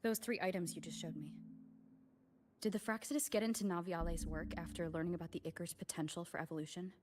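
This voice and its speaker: A woman's voice: on the low side, steady tone, quick pace, background noise, natural voice. An actress playing an inquisitive, composed young female adventurer in scripted video game dialogue. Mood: inquisitive, serious. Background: game music.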